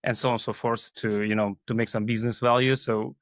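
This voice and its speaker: A man from Kazakhstan talks as a guest in an interview. His mid-pitched voice is recorded dry, and he explains things in a halting, conversational way. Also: level tone, a bit bright, no room sound. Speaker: guest, AI team leader. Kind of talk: interview talk.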